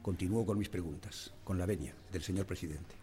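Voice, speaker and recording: An actor playing a stern senator in a 1912 hearing, questioning formally and deliberately in a radio drama. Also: male voice, deep voice, warm tone, soft background music, close to an everyday voice. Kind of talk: radio drama courtroom acting. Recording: long echo of a large hall.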